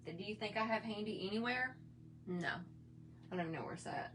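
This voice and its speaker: A middle-aged woman with a slightly hoarse voice, chatting in a folksy, rambling way while she shows her makeup routine. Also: mid-range voice, fairly quick, over music. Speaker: makeup vlogger. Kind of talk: casual makeup tutorial chat.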